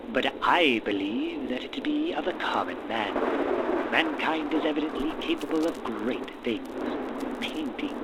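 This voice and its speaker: A man playing a manic, ambitious mad scientist in a gothic horror audio roleplay, speaking in florid, formal, theatrical phrases with dramatic pauses. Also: mid-range voice. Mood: impassioned, grandiose. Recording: steady rain and thunderstorm noise behind. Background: rain and thunderstorm ambience.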